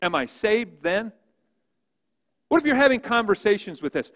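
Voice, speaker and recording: A man preaching a sermon as pastor, with a big voice that swings from loud emphasis to quiet, hushed reading. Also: high voice, very animated, normal speed, in a large hall. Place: church sanctuary.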